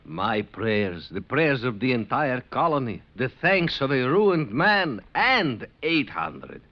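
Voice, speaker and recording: A wily immigrant farmer, florid and melodramatic. His creaky voice leaps dramatically up and down as he pleads, on a muffled vintage radio western recording. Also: mid-range voice, big pitch swings, takes it slowly. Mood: pleading, crafty. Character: wily, theatrical immigrant farmer, haggling father. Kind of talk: old-time radio western acting.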